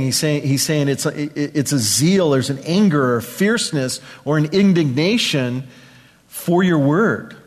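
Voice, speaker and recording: A man preaches in a mid-pitched voice with long hall reverb around it. He teaches scripture conversationally, circling back over phrases and asking his listeners rhetorical questions. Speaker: pastor, preacher. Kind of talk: sermon, verse-by-verse Bible teaching to a congregation.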